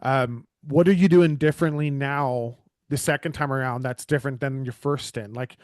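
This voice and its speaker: A man's voice: medium pitch, steady tone, moderate pace, a little mellow, no echo, studio quality. A man with a Canadian accent hosting a casual interview, talking earnestly in a rambling way full of fillers and restarts. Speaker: podcast host.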